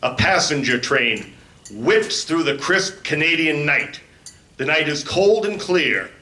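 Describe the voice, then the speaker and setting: A man's voice: a little high, sing-song, slow, sharp tone, slight background noise, heavily acted. A man announcing and narrating in old-time radio serial style, melodramatic and declamatory. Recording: echoey live convention stage.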